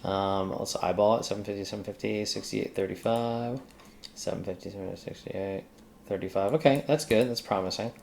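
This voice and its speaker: Male tutorial host, very low and creaky, casual think-aloud delivery with halting muttering, slight noise behind. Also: fairly even intonation, darkish tone. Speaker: tutorial host, accountant who codes. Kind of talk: casual screen-recorded coding walkthrough, thinking aloud.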